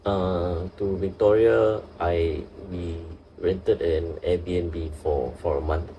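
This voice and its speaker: Low gravelly Singaporean man. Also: fairly even intonation, dull audio, a little hiss or hum. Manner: earnest, halting, with many restarts and fillers. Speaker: guest, nurse. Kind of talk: casual interview.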